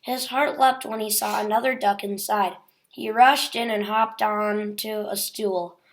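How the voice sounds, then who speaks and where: A woman's voice: mid-range voice, steady tone, unhurried. A woman reading a children's picture book aloud as a storyteller.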